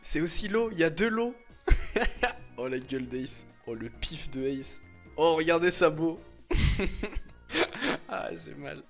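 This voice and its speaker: A man, chatting casually on a livestream in a slangy, teasing, expressive way. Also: a little high, unhurried, vocal fry, long pauses, soft background music. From France. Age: 20s. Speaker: livestreamer reacting to images and chat. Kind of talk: casual chat, livestream commentary.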